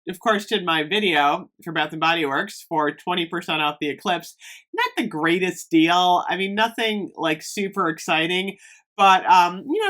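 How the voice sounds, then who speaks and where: Chatty slightly hoarse woman vlogger. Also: on the low side, fairly bright tone. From Northeast Ohio. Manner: chatty, lots of "like" and "um" fillers. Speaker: beauty and fragrance vlogger. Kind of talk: casual chat to camera.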